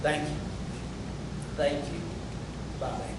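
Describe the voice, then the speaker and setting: Casting: male actor as ashamed working-class husband and father, brooding and anguished, high male voice, recorded far from the mic. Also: fairly even intonation, slow pace. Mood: tender, reluctant. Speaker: actor at a play table reading. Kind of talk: staged dramatic reading. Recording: echoey room from far off, noisy rumble.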